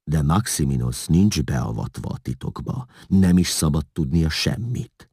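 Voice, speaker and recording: A man's voice: a bass voice, expressive, mellow, breathy edge, no room sound, studio quality, heavily acted. A man as a scheming, persuasive imperial courtier. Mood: persuasive, confiding. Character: self-assured male imperial courtier, scheming, persuasive, flattering.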